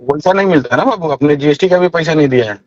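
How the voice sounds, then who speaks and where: A man's voice: a little high, very fast, lo-fi sound, a little hiss or hum. A man acting as a manager in a heated phone argument over fees, insistent and cutting in, on a recorded call. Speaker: singer's manager negotiating fees.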